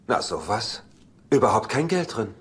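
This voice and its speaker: An actor playing a cocky, teasing older brother in a dubbed sitcom, with a dry, mocking delivery. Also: male voice, on the low side, big pitch swings, moderate pace, background noise.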